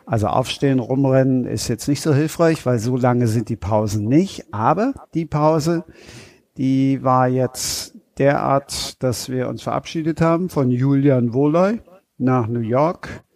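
A man's voice: medium pitch, a little slow, darkish tone. A man hosting a casual podcast, asking his guests jovial questions. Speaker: podcast host.

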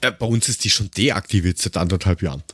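A man's voice: deep voice, fast, fairly bright tone, husky, no room sound. Austrian man ranting, dry close sound. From Lower Austria. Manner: ranting, sarcastic, crude joking. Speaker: podcast co-host. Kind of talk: casual chat.

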